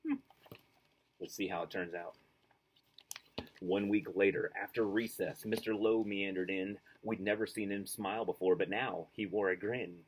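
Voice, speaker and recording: A man's voice: fairly low pitch, moderate pace, slight background noise, rough recording. A man reading a children's book aloud expressively, with enthusiastic casual asides. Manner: enthusiastic, animated. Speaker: storybook reader.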